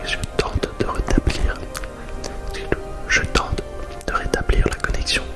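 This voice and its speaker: A man playing a calm, methodical astronaut explorer in a whispered ASMR roleplay, giving slow, measured status reports. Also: natural voice. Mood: focused, concerned. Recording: music behind the voice.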